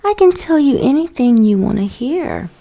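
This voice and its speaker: A woman's voice: sits high, very animated, normal speed, warm tone, exaggerated voice. An actress playing a scheming female demon, sly and flirtatious in an audio drama.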